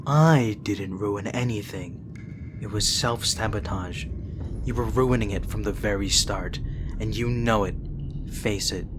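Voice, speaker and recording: A man's voice: on the low side, background noise. A man playing a principled, exasperated younger-brother vampire prince in an audio roleplay, speaking in an earnest, reproachful way.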